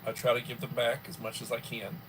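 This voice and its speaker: A man in his early sixties hosting a casual livestream from home, rambling and filling pauses often. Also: medium pitch, little pitch movement, noisy background. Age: 60s. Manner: rambling, with many fillers. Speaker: livestream host.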